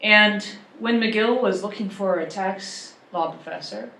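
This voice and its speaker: A woman's voice: medium pitch, unhurried, creaky, bright, forceful tone, a little hiss or hum. A tax law professor, a woman from Ontario, talking on a panel in a wry, chatty, self-deprecating way. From Ontario, Canada. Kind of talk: conversational panel talk. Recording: echoey room.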